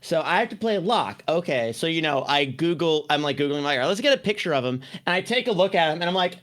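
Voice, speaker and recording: Animated, rambling casual storyteller. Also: mid-range voice, quick pace. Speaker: voice actor and commentary panelist. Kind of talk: casual group commentary chat.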